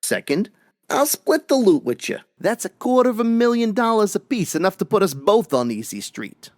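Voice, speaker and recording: Man acting crime boss, radio melodrama, higher-pitched, swooping inflection, smug, sly, menacing. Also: very animated, normal speed, no echo, studio quality. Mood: forceful, insistent. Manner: mock-polite, gloating, sly. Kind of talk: old-time radio crime drama acting.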